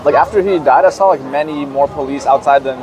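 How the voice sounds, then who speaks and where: A man from Virginia in the USA hosting a casual interview and asking questions in a relaxed, curious way. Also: on the high side, quick pace, poor audio. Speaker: interview host. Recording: outdoor crowd chatter behind.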